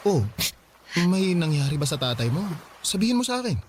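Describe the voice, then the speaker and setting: A man's voice: sits high, sing-song, a little fast, slight background noise. A man playing an earnest, caring young boyfriend in a radio drama, speaking in a tender, reassuring way. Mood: concerned.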